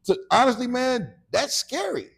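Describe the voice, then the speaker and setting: A man's voice: very high-pitched, very animated, moderate pace, vocal fry, a bit bright. An American man in his late forties talking casually and reflectively as a podcast co-host, often checking in with his listener. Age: late 40s.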